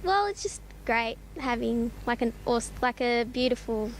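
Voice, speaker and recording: A young girl, around twelve, answering interview questions haltingly and searching for words. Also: on the high side, expressive, a little hiss or hum, low-quality recording. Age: about 12 to 13. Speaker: student interviewee.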